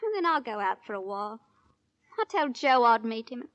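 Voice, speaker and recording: A woman's voice: a little high, very animated, normal speed, dull audio. A young woman playing a spirited, pert daughter in a 1940s radio drama, protesting in a girlish manner. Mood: offhand. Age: young adult. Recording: vintage 1940s radio broadcast.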